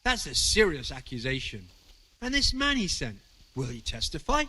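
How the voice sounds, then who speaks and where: A grave, decisive authority figure in a medieval video game. His voice is husky and bright, swinging very widely in pitch as he questions. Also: huge pitch swings, normal speed, exaggerated voice. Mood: grave, alarmed. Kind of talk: video game drama acting.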